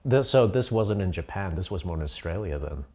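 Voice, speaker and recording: A man hosts a casual interview in a very low voice that still moves up and down a lot. He asks his questions in a relaxed, hesitant way, with ums and small laughs along the way. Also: brisk, breathy edge. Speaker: interviewer host.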